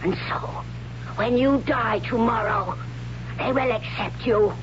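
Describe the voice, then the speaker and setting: A woman plays an imperious priestess laying down holy law in old radio drama style. Her delivery is solemn and declamatory, on a muffled recording with clear hum and noise. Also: fairly high pitch, a little slow, close to an everyday voice. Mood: stern, dismissive. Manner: solemn, commanding, formal, declamatory.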